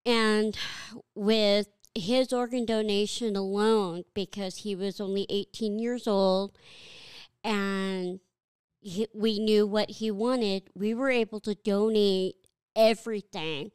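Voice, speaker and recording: A middle-aged woman, a guest on a podcast, speaking slowly and haltingly with deep feeling. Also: steady tone, darkish tone, clear, resonant tone, no echo, studio quality. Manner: slow, halting, heartfelt. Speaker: guest, donor mother. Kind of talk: casual interview talk.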